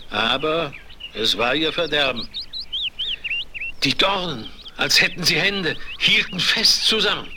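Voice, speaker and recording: An old man from a fairy-tale radio play, grave and deliberate as he warns a young prince. His voice is mid-pitched and very bright. Faint record noise and birdsong sit around it. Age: older. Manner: solemn, deliberate storytelling. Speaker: voice actor. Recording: noisy vinyl record with clicks.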